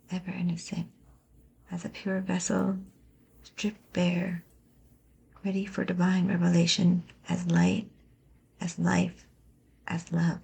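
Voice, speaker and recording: A woman softly whispering an ASMR poetry reading in a breathy, gentle, soothing and deliberate manner. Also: on the low side.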